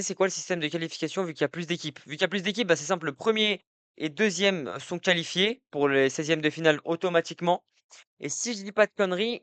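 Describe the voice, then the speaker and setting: A man's voice: on the high side, fairly bright tone, clean tone, no room sound. A young man hosting a casual football live stream, talking to his chat in a rambling way, full of fillers and restarts. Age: young adult. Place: indoor room at night.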